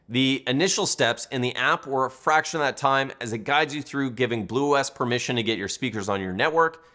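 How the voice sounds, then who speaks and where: A man's voice: medium pitch, fairly bright tone. A man reading a scripted product review as the reviewer and narrator.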